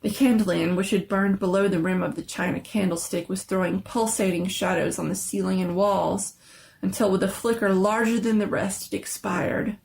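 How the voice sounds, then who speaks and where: A woman storyteller narrates a scary tale in a theatrical, suspenseful style. The voice sits at an average pitch with plenty of fry, and the phrasing is deliberate. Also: fairly even intonation, a little mellow. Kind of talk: dramatic scripted story narration.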